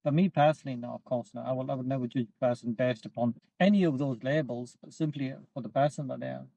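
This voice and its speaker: Man, podcast co-host, mid-pitched, measured and reflective, dry close sound. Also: gravelly, mellow, no echo, poor audio. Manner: thoughtful, measured, with fillers. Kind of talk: casual podcast discussion.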